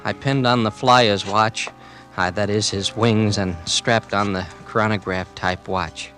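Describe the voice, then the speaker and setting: A brooding, resentful young man tells his own story in a hushed, sullen voice. It comes from a vintage radio thriller with faint music beneath. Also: fairly low pitch, fairly even intonation, measured pace. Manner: quiet, sullen first-person narration. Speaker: narrator and lead. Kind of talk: 1940s radio acting.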